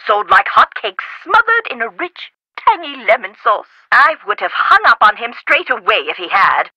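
A flamboyant, haughty scholar from a mystery game, heard over a telephone. Her voice is high and piercingly bright, swooping theatrically through precise, gushing, impatient phrases. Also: big pitch swings, moderate pace, dry recording, dull audio, full character voice. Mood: haughty, chatty. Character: flamboyant, impatient, food-obsessed eccentric woman scholar. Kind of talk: video game voice acting.

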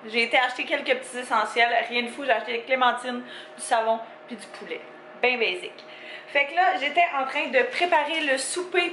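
Young Québécoise woman vlogger, bright-ish voice, casual chat, roomy sound with faint hiss. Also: high-pitched. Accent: Quebec French. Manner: chatty, relaxed, fillers like "fait que". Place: home kitchen and parked car. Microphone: handheld camera at arm's length.

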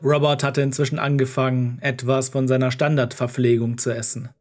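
Ein Mann liest als Erzähler eine Geschichte vom Skript. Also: male voice, mid-range voice, little pitch movement, brisk. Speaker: narrator. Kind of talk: scripted story narration.

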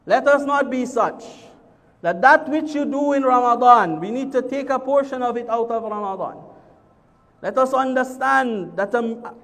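A man in his thirties preaches from a pulpit in a spacious mosque hall, his voice pitched very high. He repeats key phrases and glosses each Arabic word in English. A long reverb trails behind every sentence. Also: fairly bright tone. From Guyana. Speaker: imam giving a Friday sermon. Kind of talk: sermon. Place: large mosque hall with a crowd seated on carpets.

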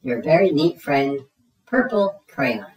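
A woman's voice: low voice, lively intonation, slow, a little mellow, distant mic, rough recording. A woman voicing a fussy, exasperated purple crayon in a children's storybook, complaining emphatically. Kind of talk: children's storybook read-aloud.

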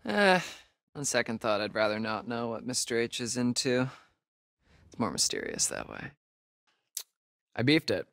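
A young American man, a sardonic summer camp counselor who loves a wisecrack. His delivery is slangy and laid-back, taking its time, with lively swings in pitch. The sound is close and dry. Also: a little slow, vocal fry, long pauses, dry recording, exaggerated voice. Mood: excited, joking, then playful, wisecracking. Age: young adult. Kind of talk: naturalistic video game acting.

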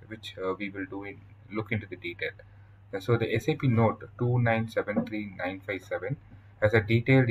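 A man with an Indian accent presenting a software tutorial in a halting, explanatory way over slides. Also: lowish voice, lo-fi sound, slight background noise. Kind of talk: informal scripted-outline tutorial narration over slides.

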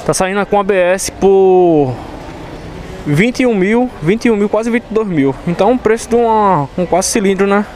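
Young man, Pernambuco accent, high voice, hyped slangy chat, motorcycle showroom, faint background noise. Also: moderate pace. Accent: Pernambuco Brazilian Portuguese. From Recife, Brazil. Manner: excited, slangy, hype-driven. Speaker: motorcycle vlogger. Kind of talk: casual slangy vlog chat. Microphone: chest-mounted action camera.

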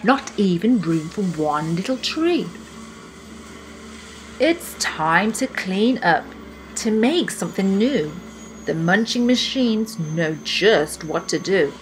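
Slow-reading young woman, storybook style. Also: mid-range voice, expressive, slight background noise, poor audio. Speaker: children's book reader. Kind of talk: expressive storybook read-aloud for children.

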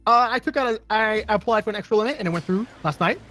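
A man chatting and joking through a video game in a silly, excitable, exclamatory way. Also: sits high, fast talker, soft background music. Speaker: gamer in a group gameplay video. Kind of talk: casual joking gameplay chat.

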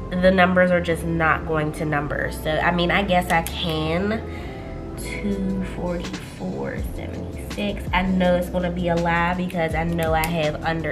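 A woman with a stuffy cold voice, chatting casually and laughing often as she works through her budget planner on camera. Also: low-pitched. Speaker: budgeting vlogger. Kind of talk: casual chat while working in a planner. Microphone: camera overhead on a desk.

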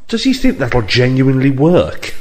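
An actor playing a blunt, sardonic police detective who goads people in an audio drama. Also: male voice, mid-range voice, big pitch swings, normal speed, darkish tone, close to an everyday voice. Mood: gruff, doubtful. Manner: sardonic, goading.

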